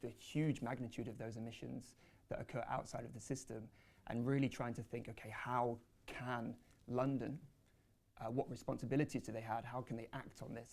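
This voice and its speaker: A man's voice: mid-range voice, vocal fry, darkish tone. A man presenting research and answering audience questions at a panel, speaking hesitantly with many fillers and restarts.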